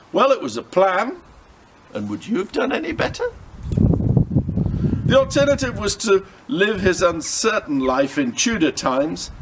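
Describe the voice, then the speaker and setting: Male narrator for children's adventure fiction, lively intonation, slightly high voice, clearly noisy home recording. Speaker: storyteller reading a chapter aloud. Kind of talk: expressive audiobook-style narration of a children's adventure story.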